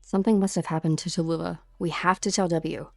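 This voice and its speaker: Woman acting a creaky-voiced, earnest young mercenary. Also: lowish voice, steady tone, brisk, no room sound, studio quality. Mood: insistent. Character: earnest, urgent, defiant young female mercenary. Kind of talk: fan-dub game drama acting. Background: music.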